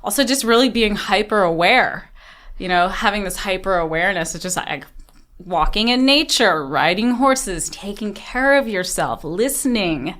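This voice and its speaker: American woman podcast host, mid-pitched. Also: animated, moderate pace, a bit bright. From Ohio, United States. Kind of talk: casual interview conversation.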